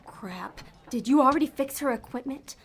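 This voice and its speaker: A woman playing a bossy, cynical schemer with a soft spot in an audio drama, speaking in blunt, terse lines. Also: high-pitched, animated, moderate pace. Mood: brisk, bossy. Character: bossy damned soul, cynical schemer turned softhearted.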